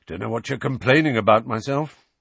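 A man's voice: mid-range voice, fairly quick, vocal fry, fairly bright tone, breathy edge, no room sound. A man voicing a restless, bitter godfather in a storybook, with a dry, sarcastic, complaining manner.

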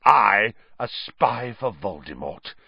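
A man's voice: fairly low pitch, very animated, creaky, slightly rough, no room sound, dull audio, exaggerated voice. A man reading an audiobook story, voicing a bitter, vengeful male fugitive with a growling, hissing, contemptuous delivery. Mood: angry. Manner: slow, taunting, contemptuous.

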